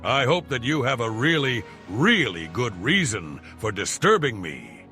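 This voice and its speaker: Man acting a pompous, lively-voiced archaeologist. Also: medium pitch, measured pace, soft background music, exaggerated voice. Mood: haughty, annoyed. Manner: haughty, verbose, formal. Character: pompous, irritable, shrewd male archaeologist. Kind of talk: video game character dialogue.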